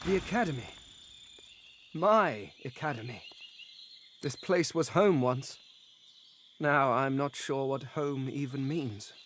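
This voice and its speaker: A man playing the proud, sombre last survivor of a noble race in a fantasy game, speaking in grave, deliberate declarations. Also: medium pitch, fairly bright tone, slight background noise. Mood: solemn resolve. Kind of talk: dramatic video game character acting.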